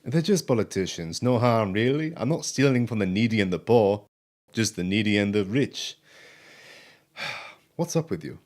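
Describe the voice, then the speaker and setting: An actor playing a roguish, cheeky elf thief in an audio drama, sardonic and theatrical in manner. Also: male voice, lowish voice, expressive, normal speed, long pauses, a little mellow, natural voice. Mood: breezy, defiant.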